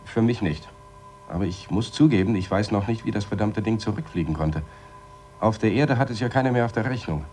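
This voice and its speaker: An actor playing a matter-of-fact space lab astronaut in a radio drama, explaining in a measured, dryly sarcastic way. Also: male voice, fairly low pitch, darkish tone, soft background music, natural voice. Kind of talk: radio drama acting, spoken report.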